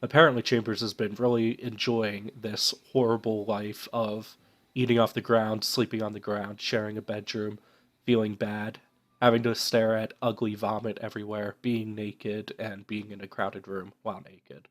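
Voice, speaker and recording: A man's voice: fairly low pitch, little pitch movement, moderate pace. A young man with a stuffed-up, nasal voice from a cold, casually commenting on a game while thinking aloud with many fillers. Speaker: let's play host.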